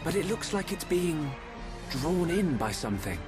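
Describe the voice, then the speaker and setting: Earnest young hero, analytical, music loud behind. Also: male voice, fairly high pitch, very animated, normal speed. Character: thoughtful determined young man. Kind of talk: video game cutscene acting.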